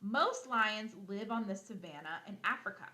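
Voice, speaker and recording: A woman teacher giving a read-aloud lesson to young children, instructive and animated, asking questions and then answering them herself. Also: medium pitch, moderate pace, echoey room. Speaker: elementary school teacher.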